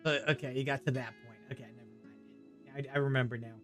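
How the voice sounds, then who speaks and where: Male gaming streamer, average pitch, relaxed offhand commentary with clear game music underneath. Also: quick pace. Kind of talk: casual live gameplay commentary.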